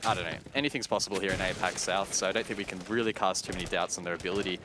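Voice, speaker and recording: A man with a fairly low voice works as an esports commentator, analysing the play in a measured, explanatory way. There is clear noise behind his voice. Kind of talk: analytical live game commentary.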